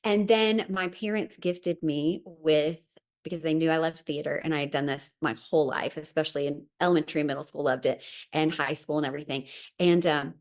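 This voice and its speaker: A woman from Tennessee with a Southern accent, telling her story as a podcast guest in a chatty, friendly way. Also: low-pitched. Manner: chatty, warm storytelling with fillers. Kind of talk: casual interview chat.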